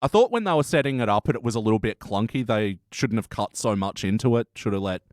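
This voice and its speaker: A man's voice: lowish voice, lively intonation, quick pace, darkish tone, no echo. Casual man chatting, dry close sound. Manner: rambling, informal, swears freely. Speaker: podcast host reviewing TV episodes. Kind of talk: casual review chat.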